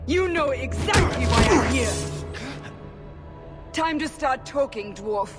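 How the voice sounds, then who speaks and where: A woman playing a stern, forceful holy inquisitor in a video game, commanding and intimidating. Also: high-pitched, sing-song, slow, exaggerated voice. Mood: angry, menacing. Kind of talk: dramatic game dialogue. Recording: loud music behind.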